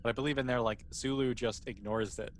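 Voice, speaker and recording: A man with a medium-pitched voice acts as game master for a tabletop role-playing game. He talks in a casual, conversational way, with slight noise in the background. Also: steady tone, fairly quick.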